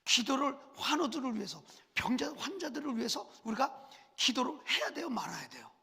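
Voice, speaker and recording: A man's voice: very high-pitched, sing-song, a little fast, sharp tone, breathy edge. A man preaching a sermon as senior pastor, speaking through a cold and a cough, asking the congregation questions as he goes. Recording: long church reverb.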